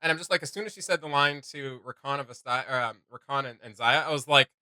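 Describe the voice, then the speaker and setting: Bright-voiced man, casual and enthusiastic. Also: medium pitch, quick pace, no echo, clean studio sound. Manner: enthusiastic, slangy. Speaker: reaction video host. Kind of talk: casual chat, fan reaction.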